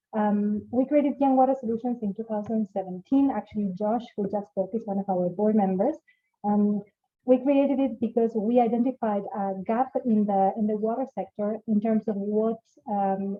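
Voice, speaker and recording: A woman from Argentina with an Argentine accent, presenting at an online conference as an organization director, with many fillers and restarts. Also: medium pitch. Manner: many fillers and repeated starts. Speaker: organization director, panel speaker. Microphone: video call. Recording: tinny phone-like call audio.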